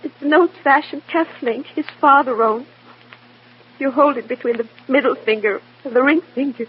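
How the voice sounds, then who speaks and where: An actress playing a timid, grieving young widow, fragile and pleading, in mid-century radio drama style. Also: female voice, high-pitched, normal speed, close to an everyday voice. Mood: wistful. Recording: muffled, noisy old radio recording.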